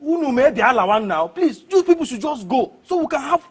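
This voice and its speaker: A man's voice: extremely high pitch, very animated, brisk. A man with a Nigerian Pidgin accent voicing a grumbling gateman who scolds and complains in exasperation. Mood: exasperated.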